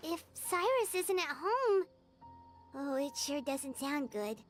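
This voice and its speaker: Tiny fairy sidekick, very high squeaky childlike voice, swooping, curious and blunt, anime game, loud music behind. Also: female voice, very animated, takes it slowly, full character voice. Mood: concerned, puzzled. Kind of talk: anime video game voice acting.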